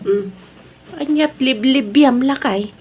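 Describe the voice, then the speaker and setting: A woman's voice: sits high, takes it slowly, a little mellow. A woman with an Ilocano accent acting a sensible, chiding wife in a family radio drama.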